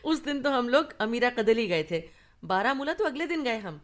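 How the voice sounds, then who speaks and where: Actress doing a cheerful lady telling childhood stories in a radio play, voice a bit high, swings up and down. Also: animated, normal speed, natural voice. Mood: amused, fond. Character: cheerful grown woman fondly recounting childhood family tales. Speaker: radio drama actor. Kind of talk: conversational radio play storytelling.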